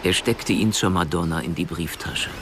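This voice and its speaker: A man narrating a scripted crime radio play in a measured, composed, literary way. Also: low-pitched, noisy background.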